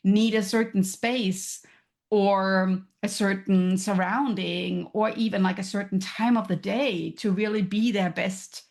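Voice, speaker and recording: A woman's voice: medium pitch, steady tone, a little slow, few pauses, darkish tone. A woman from Germany with a German accent, a podcast guest who explains her ideas thoughtfully, with examples. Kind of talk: casual interview chat.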